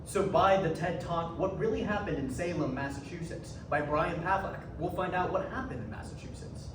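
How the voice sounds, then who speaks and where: A man's voice: high-pitched, moderate pace. A young man delivering scripted stage narration as a readers theatre performer. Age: young adult. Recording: echoey hall from far off, noisy.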